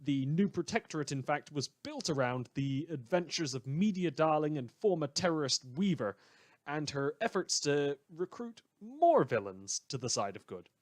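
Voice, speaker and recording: A man's voice: a little high, big pitch swings, normal speed, dry recording. A man playing a sardonic radio host in a superhero world, delivering scripted satirical news with dramatic, sarcastic emphasis.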